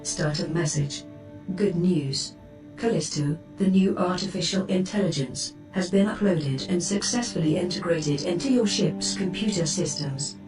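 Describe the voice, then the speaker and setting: A formal female ship computer, voiced by a woman reads out status messages in a clipped, slightly low voice. She sounds distant and roomy. Clear music plays behind her. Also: moderate pace, natural voice. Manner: formal, clipped system announcements.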